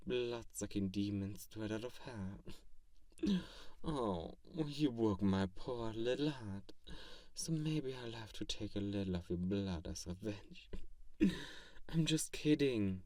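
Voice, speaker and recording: A man playing an ancient, teasing yet soothing vampire in an intimate ASMR roleplay, speaking in slow phrases with hushed touches. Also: on the low side, animated, no echo, far from the mic. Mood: playful, teasing. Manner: slow pauses, soft chuckles, drops into whispers. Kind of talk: ASMR roleplay, intimate one-sided comfort monologue.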